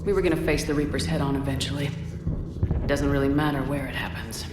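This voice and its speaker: An actress playing a tough, determined military commander in a video game, speaking bluntly and decisively. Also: female voice, a bass voice, steady tone, quick pace, very noisy. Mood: firm. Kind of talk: scripted video game dialogue. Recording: echoey game audio under loud music.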